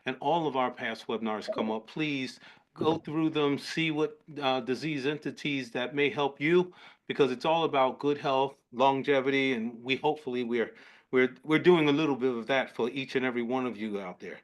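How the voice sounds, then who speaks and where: A man's voice: mid-range voice, normal speed. A man hosting an online panel, casual, upbeat and jokey, often repeating his words. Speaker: webinar host. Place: online webinar call.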